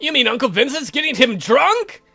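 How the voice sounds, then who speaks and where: A man's voice: extremely high pitch, big pitch swings, normal speed, creaky, bright, forceful tone, breathy edge, faint music behind, voice pushed up, full character voice. A man voicing a sardonic young vampire count who is dry and irritable with his family. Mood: irritated, sardonic.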